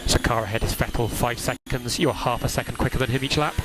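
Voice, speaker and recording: A man speaks as a motorsport race engineer over team radio, with heavy engine noise all around. His pitch is medium, and his calls are clipped and procedural. He repeats the important instructions. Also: steady tone, quick pace. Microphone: radio headset. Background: loud engine and track noise.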